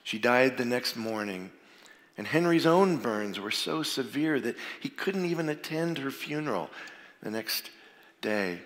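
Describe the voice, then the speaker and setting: Male pastor, slightly froggy from a cold, plainspoken sermon, average pitch, long church-hall reverb. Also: lively intonation, unhurried, fairly bright tone. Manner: informal, plainspoken, with frequent fillers. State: getting over a cold, a little froggy. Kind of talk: sermon with prayer. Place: church sanctuary.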